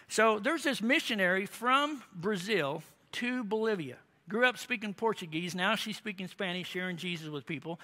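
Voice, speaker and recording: A man preaching a sermon, telling humorous stories with animated emphasis. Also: high voice, in a large hall. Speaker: pastor.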